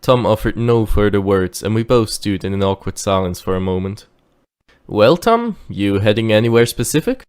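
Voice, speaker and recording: Man as a low-voiced storytelling driver. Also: expressive, moderate pace, a little mellow, smooth, close to an everyday voice. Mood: friendly, practical. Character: dutiful, wary male driver recounting his tale. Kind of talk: first-person audio drama narration.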